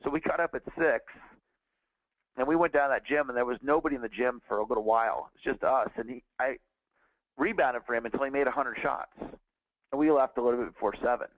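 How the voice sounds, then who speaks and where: A man's voice: medium pitch, a little fast, no echo. A man in his forties, a sales trainer, teaching on a call in an earnest, conversational way with frequent restarts. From California. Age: 40s. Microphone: phone. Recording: tinny dry phone line.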